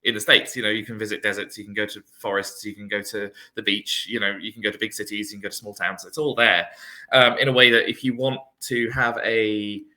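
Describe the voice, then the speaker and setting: A man's voice: on the low side, steady tone, brisk, pushed and bright, low-quality recording. A British man in a casual conversation, explaining things in a rambling, chatty way. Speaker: guest in a friendly conversation.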